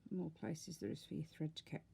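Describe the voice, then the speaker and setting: A woman's voice: low-pitched, fairly even intonation, fast, creaky, dark tone, a little hiss or hum. A woman giving a casual, step-by-step craft tutorial, talking informally and unhurriedly as she works.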